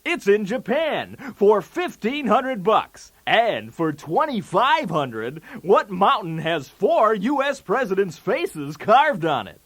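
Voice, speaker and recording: A man's voice: high-pitched, big pitch swings, takes it slowly, hardly any pauses, fairly bright tone, exaggerated voice. A man playing a brash, wisecracking 1980s TV game show host with showy, punchy patter. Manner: showy, jokey, with punchy delivery of gags.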